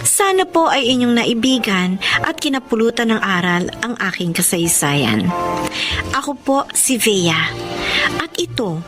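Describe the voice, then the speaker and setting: A woman with a Filipino accent playing a sincere, gentle but firm young woman in a radio drama. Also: fairly high pitch, very animated, a little slow, over music. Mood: reflective.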